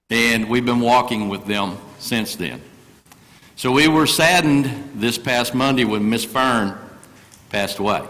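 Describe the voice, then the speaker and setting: A man's voice: fairly low pitch, fairly bright tone. Older man preaching in a reverberant church. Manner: earnest, folksy storytelling with repeated phrases. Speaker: guest preacher. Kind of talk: sermon. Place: church sanctuary. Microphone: microphone through speakers.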